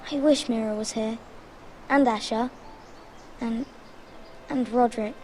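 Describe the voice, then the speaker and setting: A woman's voice: fairly high pitch, fairly even intonation, a little slow, a little mellow, a little hiss or hum, natural voice. A woman voicing an anxious, plainspoken little noble boy in a fantasy game, childlike and plaintive. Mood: sad, plaintive. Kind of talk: dramatic fantasy game acting.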